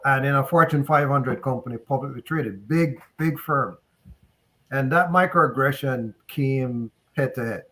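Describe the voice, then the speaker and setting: A man's voice: on the high side, a bit bright. Jamaican man sharing candid stories. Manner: candid, anecdotal, conversational. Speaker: panelist. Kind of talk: casual panel discussion. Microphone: video call.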